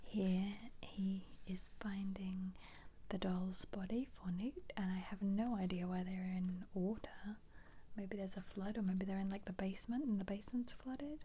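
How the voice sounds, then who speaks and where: A British woman speaking in a very soft, breathy whisper, giving casual, gentle ASMR commentary with rambling asides close to the microphone. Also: mid-range voice, fairly even intonation, measured pace, a little hiss or hum. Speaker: ASMR creator. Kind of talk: soft-spoken ASMR book flip-through commentary.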